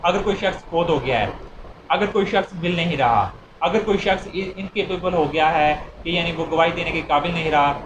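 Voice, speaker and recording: A man's voice: fairly high pitch, moderate pace, muffled recording. A man with a Pakistani accent giving an informal explanatory law lecture, repeating and rephrasing his points with examples. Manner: repeats and rephrases points, mixing Urdu with English legal terms. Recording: noisy, echoey room.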